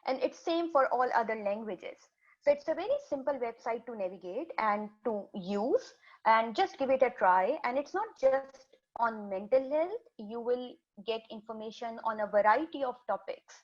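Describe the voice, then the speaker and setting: A woman whose first language is Urdu walks students through a health talk, her voice sitting a little high. She explains step by step, restarting and repeating phrases as she goes. The audio is dull and lo-fi, like a webinar call. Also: normal speed. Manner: steady explanatory, restarts words, repeats points. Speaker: health educator presenting a webinar. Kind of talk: slide presentation to students.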